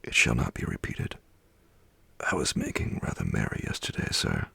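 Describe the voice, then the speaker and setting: Man as meek clerk, husky and low. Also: big pitch swings, normal speed, pushed and bright, no echo, heavily acted. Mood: apologetic, pleading. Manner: deferential, pleading. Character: meek, apologetic male clerk pleading with his boss. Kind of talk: whispery bedtime storytelling.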